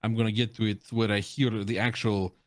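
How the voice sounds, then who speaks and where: A man's voice: lowish voice, level tone, a little fast, dry recording, studio quality. A man chatting in a casual, slangy, joking way as he reviews game voice lines. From Russia or Russian-speaking country. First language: Russian. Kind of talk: casual joking reaction chat.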